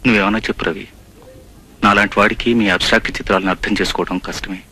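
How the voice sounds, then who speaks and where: A disillusioned, brooding man in a film drama. His voice sits fairly low and his lines come out quickly, with the weary air of someone philosophising to a friend. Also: steady tone, pushed and bright, husky, exaggerated voice, low-quality recording. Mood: resigned, challenging. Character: world-weary, disillusioned male friend philosophising about life.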